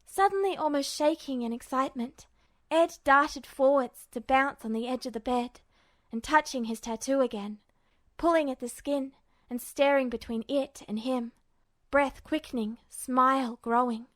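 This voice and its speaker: Woman reading fanfic aloud like an audiobook, pretty high voice. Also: normal speed, a bit bright, no room sound. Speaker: fan fiction narrator. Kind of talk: scripted story narration.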